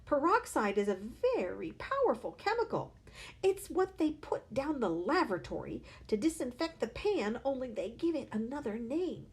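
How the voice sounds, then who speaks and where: A woman voicing a loud, scornful mother who shrieks at her husband in a storybook reading. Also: high voice, very animated, moderate pace, voice pushed up. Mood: scolding. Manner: shrieking, stammering with outrage. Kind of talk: read-aloud storybook acting.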